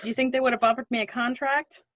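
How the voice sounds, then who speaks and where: A woman reading the part of an older, guarded but protective woman in a play, conversational and gently teasing. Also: a little high, steady tone, quick pace, dry recording, natural voice. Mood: wry, teasing. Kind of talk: play readthrough acting.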